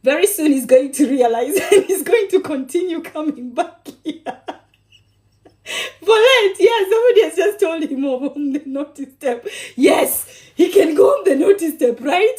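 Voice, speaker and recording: A woman from Kenya chatting on a cooking livestream in a high, lively voice. She is bubbly and affectionate with her viewers, laughs often and teases them playfully. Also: moderate pace. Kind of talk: casual livestream chat with viewers.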